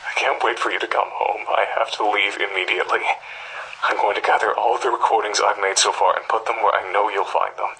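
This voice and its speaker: Husky, haunted middle-aged journalist. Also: male voice, fairly low pitch, fairly even intonation, brisk, exaggerated voice, poor audio. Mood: desperate farewell. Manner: grave, brooding, breathy half-whispers. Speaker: voice actor in a game's audio tapes. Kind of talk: dramatic scripted monologue on cassette tape. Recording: sharp, gritty cassette-tape voice recording.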